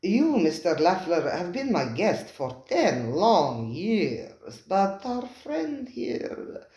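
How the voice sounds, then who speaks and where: A reader voicing a sly, smooth male restaurant owner who purrs his words with false charm. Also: mid-range voice, very animated, slow pace, a little mellow, reverberant, pitched above the performer's own voice. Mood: smug. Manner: purring, silky, falsely genial.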